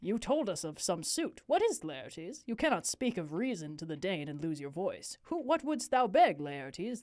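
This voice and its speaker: महिला की आवाज़ में उतार-चढ़ाव से बोलता रौबीला राजा. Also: female voice, lowish voice, a little mellow, no room sound, clean studio sound. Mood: gracious. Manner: declamatory, formal. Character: stately Danish king addressing his court. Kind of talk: theatrical Shakespeare read-aloud.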